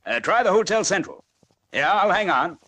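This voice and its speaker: An actor playing a small-town newspaper editor in a radio serial, reassuring yet evasive and a little condescending. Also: male voice, high-pitched, very animated, normal speed, pushed and bright, a little hiss or hum, heavily acted. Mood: brisk, hearty. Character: smooth-talking, dismissive male small-town newspaper editor. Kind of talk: 1940s radio serial acting.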